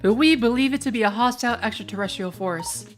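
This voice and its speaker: A curt, bossy female coworker who snaps out orders and instructions. She is read out by a woman with a raspy voice. Soft music plays behind her. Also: on the high side, close to an everyday voice. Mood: commanding. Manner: brisk and commanding, reading game dialogue aloud.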